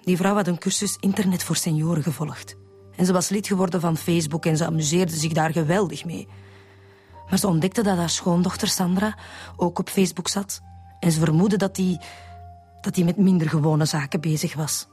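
A lovesick Flemish woman in a radio play, confessing in a husky, fairly low voice. She is hesitant and repeats words as she goes, with faint music behind her. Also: fairly even intonation, normal speed, darkish tone. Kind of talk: radio drama acting.

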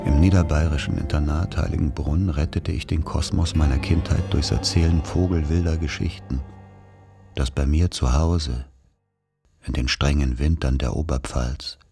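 A man playing a wry narrator who recalls his boarding-school boyhood, in measured literary radio play narration. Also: extremely low pitch, mellow, breathy edge, full character voice. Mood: wistful, wry.

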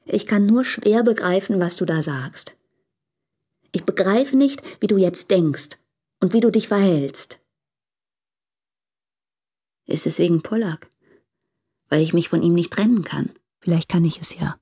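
A woman's voice: on the low side, lively intonation, measured pace, pauses often, mellow, breathy edge, exaggerated voice. An actress playing a frank, reproachful woman challenging her hesitant lover in a literary radio drama, intense and unsparing. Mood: bewildered, pleading.

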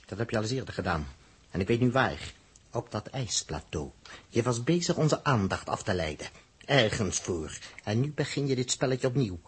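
Agitated young spaceman, suspicious and blunt, raspy voice, animated swooping delivery, old sci-fi radio drama. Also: mid-range voice, moderate pace. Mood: suspicious, accusing. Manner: impatient, blunt, agitated interrupting. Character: hot-headed, suspicious young male spaceship crewman. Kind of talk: 1960s radio drama acting.

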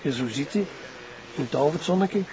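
A man's voice: fairly high pitch, lively intonation, fairly quick, highs cut off, crowd noise. An actor playing a chatty, nosy, eager husband, rambling and stumbling over his words in a homely TV drama. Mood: contented, chatty. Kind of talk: TV drama acting, colloquial.

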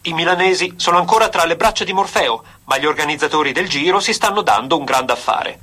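An actor playing an excited old-time radio cycling commentator, declamatory and florid. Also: male voice, on the high side, slightly rough, heavily acted. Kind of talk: vintage radio sports commentary.

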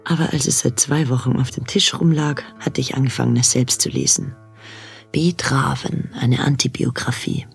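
A man's voice: mid-range voice, fairly even intonation, moderate pace, gravelly, slightly rough, soft background music. An actor playing a pensive, disillusioned filmmaker, a man who narrates a radio drama in a reflective, questioning manner. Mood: matter-of-fact.

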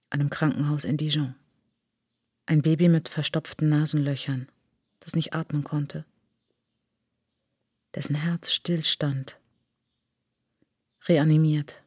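A woman playing an introspective, wounded traveller who recalls an outcast childhood in a measured, poetic radio drama monologue, with a husky voice. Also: deep voice, dark tone, no room sound, clean studio sound. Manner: measured, deliberate, short phrases. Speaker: narrator and lead of an autobiographical radio play.

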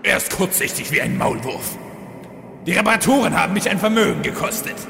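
A man's voice: high-pitched, very animated, a little fast, gravelly, bright, forceful tone, faint music behind, heavily acted. A man playing a theatrical undead arch-villain in a comic fantasy audio drama, hammy and comically domestic. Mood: indignant. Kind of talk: German audio drama parody. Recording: echoey hall reverb, faint noise.

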